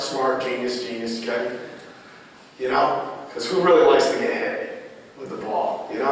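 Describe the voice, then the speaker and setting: A man with a raspy voice giving a lacrosse goalie coaching clinic, repeating key phrases as he demonstrates. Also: mid-range voice. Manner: repetitive, drilling phrases, demonstrative. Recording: echoey hall from far off.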